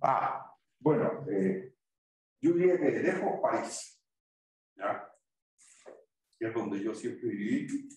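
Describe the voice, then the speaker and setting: Chilean professor, far off in an echoey room. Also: male voice, mid-range voice, lively intonation, slow, pauses often, a little mellow. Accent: Chilean Spanish. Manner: conversational, tags sentences with "¿ya?" and "¿ah?". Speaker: university math professor. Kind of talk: informal chat with students during class. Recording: very echoey room, distant mic.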